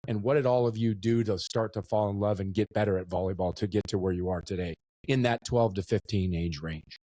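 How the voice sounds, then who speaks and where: A man's voice: on the low side, fairly quick, warm tone, no room sound. A middle-aged man hosting a casual interview podcast, enthusiastic and often repeating words as he thinks. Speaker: podcast host.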